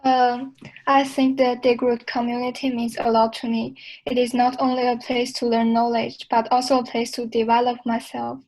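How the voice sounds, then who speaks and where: A woman's voice: on the high side, little pitch movement, moderate pace, a little mellow, poor audio. A young woman, a student candidate, reading a formal campaign statement carefully. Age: young adult.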